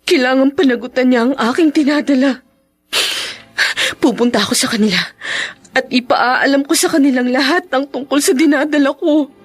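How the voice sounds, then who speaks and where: A woman's voice: high voice, normal speed, slightly rough, no echo, soft background music. An actress in a radio drama playing a wronged young woman, desperate and emotional, with melodramatic pleading delivery. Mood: anxious, desperate. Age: young adult.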